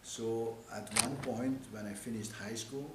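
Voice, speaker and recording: A man in his seventies from Belgium with a Flemish accent, a native Dutch speaker, telling history in an interview in a halting, repetitive way as a missionary priest. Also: medium pitch, fairly even intonation. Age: 70s. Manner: halting, repeats phrases and affirmations. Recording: echoey room with background hiss.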